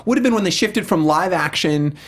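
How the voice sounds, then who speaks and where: A man's voice: high voice, lively intonation, brisk. A man co-hosting a casual movie review chat, wordy and digressive, cutting himself off with informal, emphatic, sweary phrasing. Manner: verbose, digressive, self-interrupting. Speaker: talk show co-host reviewing video game movies.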